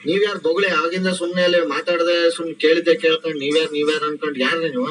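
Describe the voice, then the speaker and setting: Fast-talking, needling man on a prank call. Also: fairly high pitch, level tone, fairly bright tone, recorded from a distance, a little hiss or hum, low-quality recording. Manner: provocative, argumentative, needling. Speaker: radio jockey making prank calls.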